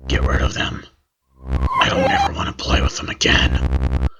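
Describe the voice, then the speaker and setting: A man voicing a traumatized, desperate younger brother in a horror story, with a hushed, rasping voice. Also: very deep voice, huge pitch swings, unhurried, voice pushed down, full character voice. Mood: weary, desperate. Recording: clearly noisy recording.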